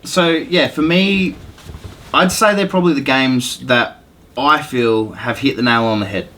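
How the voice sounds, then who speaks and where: A man's voice: medium pitch, unhurried, pushed and bright, slight background noise. A man chatting casually about video games as a podcast host.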